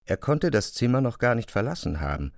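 A man narrating a literary radio drama in a measured, serious manner. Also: medium pitch, big pitch swings, gravelly, no echo.

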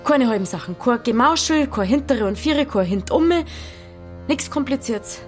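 Female actor, Bavarian dialect, headstrong and pleading, urgent blunt delivery, higher pitch, radio drama, light music. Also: expressive, normal speed, lightly acted. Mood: insistent. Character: headstrong, pleading pregnant young Bavarian woman.

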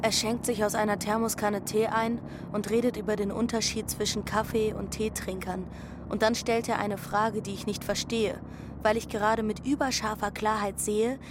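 A woman's voice: medium pitch, close to an everyday voice. Woman acting a teenage runaway girl, cheeky, restless, dreamy, radio play, heavy boat noise. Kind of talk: radio play acting and first-person narration. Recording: loud engine and water noise behind.

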